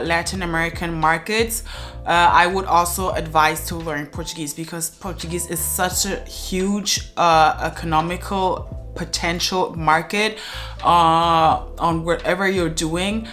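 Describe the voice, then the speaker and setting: A woman's voice: fairly low pitch, fairly even intonation, a little slow, fairly bright tone, faint music behind. A young woman giving earnest, chatty advice to the camera from her living room, with many "uh" fillers. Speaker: language vlogger.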